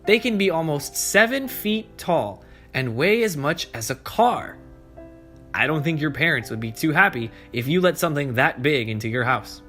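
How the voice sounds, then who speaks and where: Expressive higher-pitched man reading to kids. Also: normal speed. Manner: expressive, speaking simply to young children. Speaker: preschool story-time host. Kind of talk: children's storybook read-aloud and simple explanations. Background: background music.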